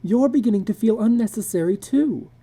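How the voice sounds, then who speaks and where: Woman acting out an anxious, tearful housewife, pitched up. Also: lively intonation, a little fast, dark tone, husky. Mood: anxious, insistent. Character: frightened, frantic, pleading housewife Lydia. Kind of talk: dramatic audiobook reading.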